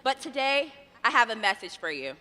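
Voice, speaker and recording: A young American woman delivering a commencement speech as the graduate student speaker, measured and earnest, with rhythmic lists. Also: on the high side, big pitch swings, sharp tone, big-room sound. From United States. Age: young adult. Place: outdoor graduation ceremony on a field.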